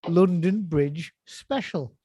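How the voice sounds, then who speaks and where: A man's voice: a little high, slow pace, mellow, slightly rough. A man in his forties co-hosting a casual chat, rambling and teasing with frequent chuckles. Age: 40s. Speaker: podcast co-host.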